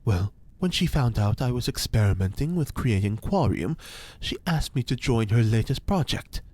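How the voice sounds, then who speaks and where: A man's voice: mid-range voice, lively intonation, a little mellow, no echo, natural voice. Man playing a theatrical eccentric occult scientist, swooping delivery. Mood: solemn, wistful. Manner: theatrical, formal, precise, with dramatic pauses. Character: eccentric pompous occult scientist and ex-cult leader, male. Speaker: fictional scientist being interviewed. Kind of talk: science fiction audio drama acting.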